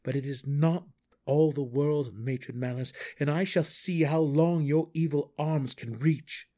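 Male dark elf exile, acted by a man, raised pitch, brooding, resolute, formal, earnest, defiant, fantasy audiobook. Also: steady tone, a little slow, lightly acted. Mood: brooding defiance. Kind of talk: fantasy audiobook character acting.

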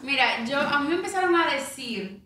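A woman's voice: high voice, a little fast, heavy background noise. Tipsy Dominican woman laughing on a podcast. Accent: Dominican, softened toward neutral. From Dominican Republic. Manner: lively, laughing, a bit tipsy. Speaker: guest actress and singer. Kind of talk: casual podcast chat.

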